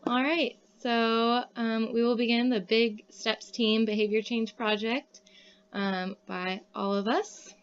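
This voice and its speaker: A woman's voice: on the high side, little pitch movement, slow, muffled recording, slight background noise. A young woman from Kansas City, a student giving a class presentation, reading from notes with frequent "um" fillers. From Kansas City, Missouri, USA. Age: young adult.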